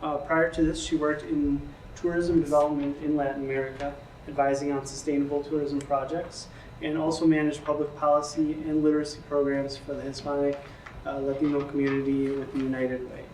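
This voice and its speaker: Flat-voiced man introducing a panelist. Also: on the high side, fairly quick. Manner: even, flat delivery with fillers. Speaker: panel moderator. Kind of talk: reading a speaker introduction. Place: lecture room. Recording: echoey room, distant mic, faint hiss.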